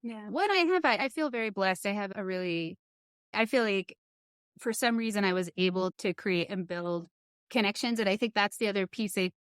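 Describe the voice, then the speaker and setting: A middle-aged woman talks in a candid podcast conversation. Her pitch sits in an ordinary female range, and she thinks aloud earnestly with pauses. The sound is dry, with little room around her. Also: expressive, smooth, no echo. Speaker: podcast host.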